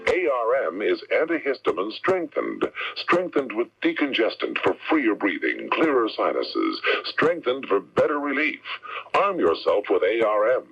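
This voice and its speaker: Husky, animated male ad announcer. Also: fairly low pitch, measured pace. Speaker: commercial announcer. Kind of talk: scripted hard-sell radio advertisement. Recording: dull vintage radio broadcast.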